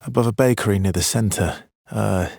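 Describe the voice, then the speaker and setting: A man playing a weary, guarded video game expeditioner, with a husky, breathy voice and an understated, measured, brooding delivery. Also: on the low side, darkish tone, dry recording, clean studio sound. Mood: guarded, matter-of-fact. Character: Verso, weary guarded male expeditioner with quiet regret. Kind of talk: video game voice lines, dramatic acting.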